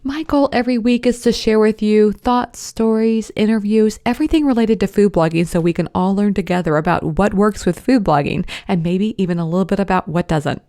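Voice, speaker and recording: A woman's voice: medium pitch, a little fast, few pauses, dark tone. A woman hosting a podcast, chatty and upbeat, with lots of casual asides. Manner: chatty, upbeat, many fillers like "you know". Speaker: podcast host. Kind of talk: podcast intro and interview.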